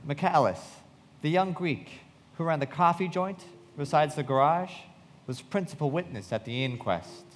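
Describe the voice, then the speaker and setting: A man playing a sombre narrator recounting a tragedy in a radio play, with measured, solemn delivery. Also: on the high side, a little hiss or hum, lightly acted. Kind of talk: dramatic scripted narration. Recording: reverberant hall.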